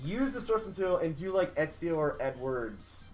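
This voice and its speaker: A man co-hosting a casual podcast about games, speaking haltingly and repeating phrases as he works out his ideas. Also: fairly high pitch, lively intonation, soft background music, low-quality recording. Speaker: podcast co-host. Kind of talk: casual group chat about video games.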